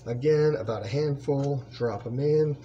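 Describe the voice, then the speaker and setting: A middle-aged man giving a casual cooking demonstration in his home kitchen, relaxed and full of ums as he stirs, with clatter behind him. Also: mid-range voice, normal speed, muffled recording, a little hiss or hum. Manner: relaxed, talks while stirring, many ums. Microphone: built-in camera mic, close framing.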